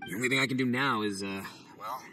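A man voicing a boisterous young oni gang leader in a game, loud and swaggering. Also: mid-range voice, fast, over music, close to an everyday voice. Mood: joking, caring. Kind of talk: game cutscene voice acting.

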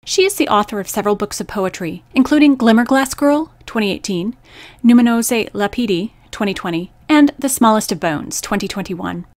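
A woman's voice: medium pitch, animated, fairly quick, no echo. A woman reading a scripted introduction as the host of a radio poetry program.

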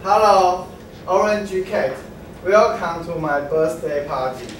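Guy reading as a little frog kid, slow careful lines, high voice. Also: level tone, background noise. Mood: eager. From Taiwan. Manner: slow, deliberate reading from a script. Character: little male frog child, eager birthday host. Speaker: student reader. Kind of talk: readers' theatre reading. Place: large classroom, standing in a row. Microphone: far camera. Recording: very echoey classroom from far off.

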